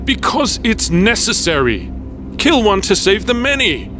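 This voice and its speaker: An actor playing a smug, ruthless agency chief in a dystopian audio drama, speaking in measured, self-justifying monologues. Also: male voice, high-pitched, big pitch swings, music in the background. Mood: cold, self-righteous.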